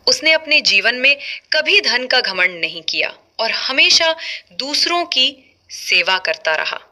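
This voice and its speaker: A woman's voice: high voice, big pitch swings, slow pace, bright, forceful tone. A woman telling a moral folk tale as a scripted narration. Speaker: storyteller.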